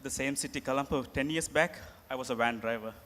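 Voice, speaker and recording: Earnest Sri man, lower voice. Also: fairly bright tone. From Sri Lanka. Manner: earnest, plain halting phrasing. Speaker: entrepreneur panelist. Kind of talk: panel talk. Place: large conference hall. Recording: echoey hall, faint room noise.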